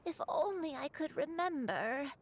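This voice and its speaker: A woman playing a frail, confused woman with lost memories in a video game dub, speaking haltingly and bewildered. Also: high-pitched, expressive, a little hiss or hum. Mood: wistful, lost.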